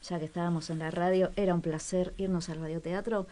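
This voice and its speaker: A woman co-hosting a casual radio program, talking in a relaxed way with hesitant fillers. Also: lowish voice, brisk.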